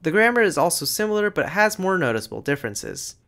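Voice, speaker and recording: A man giving scripted educational narration over slides, in a lively, explanatory way. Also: fairly high pitch, fast talker, vocal fry. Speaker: language channel narrator.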